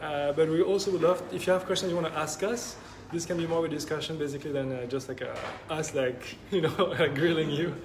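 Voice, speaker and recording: A man's voice: a little high, steady tone, fast, few pauses. A man hosting a panel talk at a maker space event, speaking casually with many fillers. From France and Japan. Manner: hesitant, many fillers, friendly. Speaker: event host. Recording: noisy room ambience.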